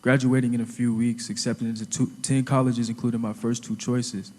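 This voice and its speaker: A dying teenage boy played by a man, bewildered and wistful in a radio drama monologue. He speaks reflectively with very little pitch movement, in a long echoing space. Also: flat delivery, brisk, darkish tone, natural voice. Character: dying high school senior, male, bewildered and wistful. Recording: long reverberant hall effect.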